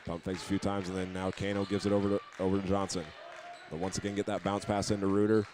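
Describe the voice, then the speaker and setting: A man's voice: low voice, little pitch movement, fast, a little mellow, a little hiss or hum. An American man giving live basketball radio commentary as a play-by-play announcer, narrating each move steadily and methodically. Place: basketball game in a gymnasium.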